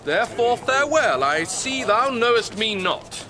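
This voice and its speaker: An actor playing an earnest, gentle young nobleman who pleads for peace, with a British accent, in a Shakespearean radio drama. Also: very high voice, sing-song, unhurried, slight background noise, heavily acted. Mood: conciliatory.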